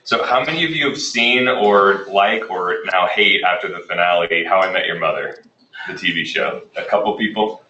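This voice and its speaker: A man leading a workshop in a relaxed, conversational way, a little raspy as he gets over a cold. His voice sits very low yet sounds bright and forceful. He is heard from a distance in an echoey room. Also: normal speed. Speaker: workshop presenter, instructional design manager. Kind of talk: workshop presentation. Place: classroom or meeting room.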